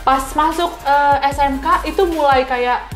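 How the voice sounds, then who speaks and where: A woman's voice: high voice, fairly even intonation, unhurried. A young woman hosting a casual interview in a chatty, informal way, repeating answers back as questions. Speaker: talk show host. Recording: roomy echo, faint music behind. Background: faint background music.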